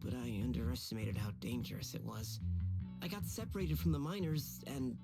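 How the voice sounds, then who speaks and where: A man's voice: mid-range voice, expressive, normal speed, vocal fry, over loud music. A man playing a modest, earnest young apprentice mystic in a video game, humble and polite. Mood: grateful, sheepish.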